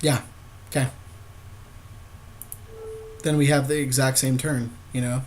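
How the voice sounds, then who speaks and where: A man's voice: medium pitch, flat delivery, measured pace, slight background noise. A man co-hosting a podcast, casually talking through plays in a card game. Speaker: podcast co-host.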